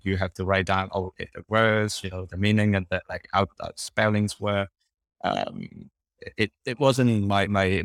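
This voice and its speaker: A man's voice: sits low, vocal fry, no echo. A young man whose first language is Cantonese, talking in a casual interview, rambling with many fillers and restarts.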